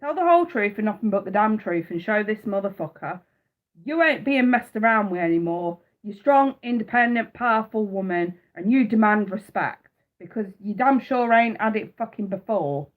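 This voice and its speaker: A woman's voice: mid-range voice, lively intonation, normal speed, phone-quality sound. A woman with a stuffy nose from a cold, giving a blunt, fired-up live tarot reading and swearing freely. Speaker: tarot reader.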